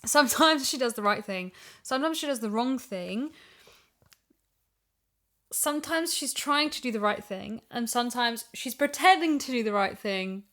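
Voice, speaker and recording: Woman on a chatty podcast, highish voice, argues her take, reasons it out. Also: expressive, moderate pace, fairly bright tone. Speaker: podcast guest and voice actor. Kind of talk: casual discussion of an audio drama.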